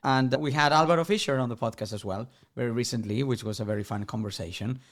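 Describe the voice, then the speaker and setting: Gravelly male podcast host. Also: medium pitch, quick pace. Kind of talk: conversational interview hosting.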